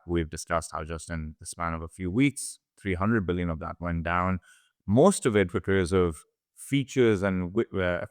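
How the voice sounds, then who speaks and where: A man co-hosting a conversational business podcast, explaining in a measured way and posing questions. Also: deep voice, a bit bright, clear, resonant tone, dry recording, studio quality. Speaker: podcast co-host.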